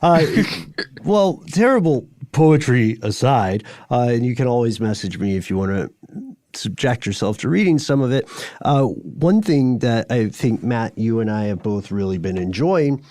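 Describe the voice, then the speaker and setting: A man co-hosting a podcast in a conversational way, with measured, deliberate phrasing, presenting and reading listener letters. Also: mid-range voice, expressive, mellow, no echo, clean studio sound. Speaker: podcast co-host.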